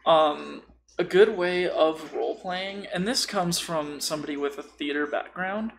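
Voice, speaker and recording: A man's voice: fairly high pitch, unhurried, vocal fry, a little hiss or hum. A man in casual podcast conversation, explaining tabletop role-playing in a blunt, joking way. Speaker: podcast speaker.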